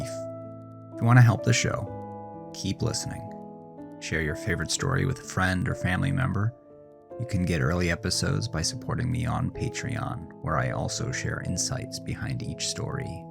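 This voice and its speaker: A man's voice: sits low, normal speed, creaky, over music. A man reading a scripted outro as the host of a horror story podcast.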